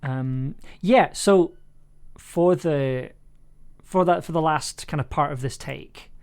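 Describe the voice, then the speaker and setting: A man directing an audio drama, giving casual, encouraging feedback in a halting, repetitive way. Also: fairly high pitch, a little mellow, smooth. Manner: hesitant, encouraging, repeats phrases.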